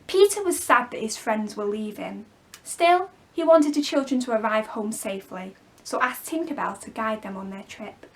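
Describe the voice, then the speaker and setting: A woman storyteller reading a children's story expressively, with clear phrasing for young listeners. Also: a little high, animated, normal speed. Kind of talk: expressive scripted storybook narration.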